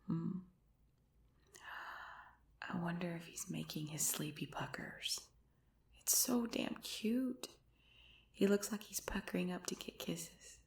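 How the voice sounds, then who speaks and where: A woman playing an affectionate, sleepy girlfriend in an ASMR roleplay, whispering and murmuring softly with a breathy voice. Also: lowish voice, animated, takes it slowly, long pauses, full character voice. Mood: fond, amused.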